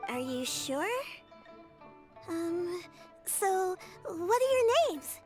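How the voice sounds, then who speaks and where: A woman's voice: extremely high pitch, big pitch swings, very slow, over loud music, full character voice. A woman voicing a polite, caring young granddaughter in a video game, earnest and a little hesitant. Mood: shy, grateful.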